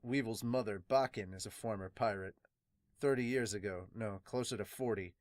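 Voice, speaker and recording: A man's voice: on the low side, moderate pace, darkish tone, dry recording, pitched below the performer's own voice, close to an everyday voice. Man as a solemn, earnest veteran pirate. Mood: solemn, reflective. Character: weary, solemn veteran pirate doctor recounting his late captain. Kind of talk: fan manga dub, dramatic reading.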